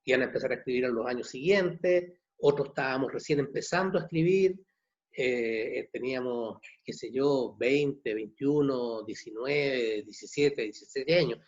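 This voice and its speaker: A man in his sixties from Chile with a Chilean accent, a novelist answering questions at an online book launch, halting and repeating words. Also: medium pitch. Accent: Chilean Spanish. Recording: dull muffled video call audio.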